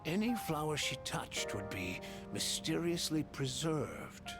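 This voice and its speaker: Analytical researcher, measured and reflective, lively intonation, game cutscene, prominent music behind. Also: male voice, a little high. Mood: solemn. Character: thoughtful, analytical male researcher, gently speculative. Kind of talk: dramatic video game voice acting. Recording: loud music over the voice.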